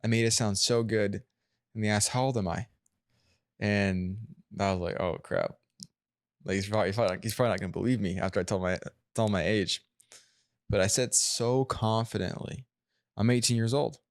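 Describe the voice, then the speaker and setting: Young male sales closer as a podcast guest, lowish pitch, dry close sound, lively storyteller. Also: brisk, no echo. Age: young adult. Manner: animated storyteller, acts out his own quotes. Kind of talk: casual interview chat.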